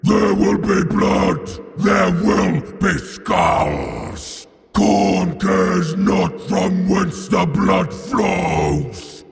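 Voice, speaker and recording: A man's voice: sits high, big pitch swings, gravelly, exaggerated voice. A man voicing a huge, bloodthirsty horned demon father in an audio drama, growling and snarling grandiose declarations. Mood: raging, defiant. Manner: bellowing, drawn-out grandiose declarations. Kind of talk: audio drama villain acting. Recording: long hall reverb, faint music behind.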